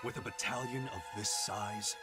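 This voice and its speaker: A man's voice: fairly low pitch, fairly even intonation, moderate pace, loud music behind the voice, lightly acted. A man playing a cautious, protesting army commander in a game drama. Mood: alarmed. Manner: protesting, incredulous. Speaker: game voice actor.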